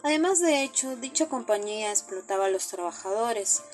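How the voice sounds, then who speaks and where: A woman's voice: fairly high pitch, animated, fairly quick, a little mellow, soft background music. A woman reading a scripted summary as narrator.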